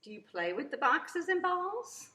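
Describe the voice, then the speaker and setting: A woman voicing a kind, calm, fatherly woodcarver in a children's storybook, asking things gently and patiently. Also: very high-pitched, unhurried, a little hiss or hum, pitched above the performer's own voice, exaggerated voice. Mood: kind, questioning. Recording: echoey room.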